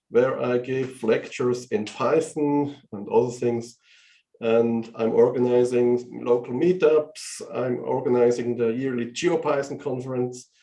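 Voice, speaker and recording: Mellow-voiced professor in an echoey room. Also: male voice, mid-range voice. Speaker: professor and board candidate. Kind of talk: online meeting self-introduction.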